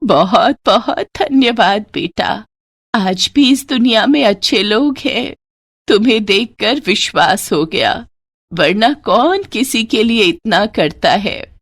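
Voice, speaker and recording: A woman's voice: mid-range voice, expressive, takes it slowly, a bit bright, exaggerated voice. A woman voicing a kindly, weary old village grandmother in a Hindi story cartoon. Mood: grateful, kindly.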